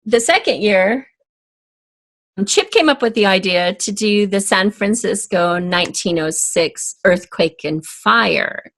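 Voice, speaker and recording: A woman's voice: medium pitch, animated, slow, creaky, a bit bright, no echo. A woman speaking casually and explaining her work as an interview guest. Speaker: interview guest, audio drama writer.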